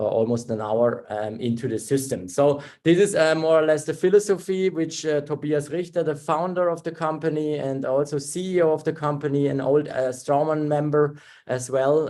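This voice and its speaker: A German-born man talks through an online webinar in careful, halting English. He fills his pauses with plenty of ums and uhs and often restarts his sentences. His voice sits at an ordinary middle pitch for a man, picked up by a computer mic on a video call. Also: dark tone. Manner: halting, many fillers and restarts. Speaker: webinar presenter, dental technician.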